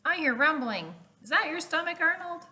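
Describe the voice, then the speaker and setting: An excitable young schoolkid from a storybook, played by a woman, anxious and a little cheeky. The voice jumps high and bright, exclaiming in short bursts. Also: moderate pace, full character voice. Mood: alarmed.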